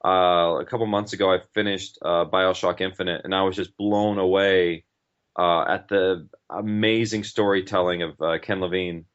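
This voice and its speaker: A man's voice: low voice, steady tone, normal speed, fairly bright tone. A man talking casually as an interview guest, in an informal, rambling and enthusiastic way. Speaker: interview guest, podcast writer and director. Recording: dull, lo-fi audio.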